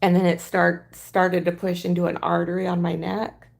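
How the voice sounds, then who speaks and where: A hoarse woman hosting a casual crafting livestream, talking in a halting, rambling way. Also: on the low side, completely flat delivery.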